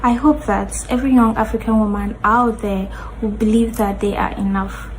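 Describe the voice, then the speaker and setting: A woman's voice: medium pitch, steady tone, moderate pace, slight background noise, rough recording. A young woman from Ghana speaks steadily and earnestly in a personal statement. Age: young adult. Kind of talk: short recorded personal statement.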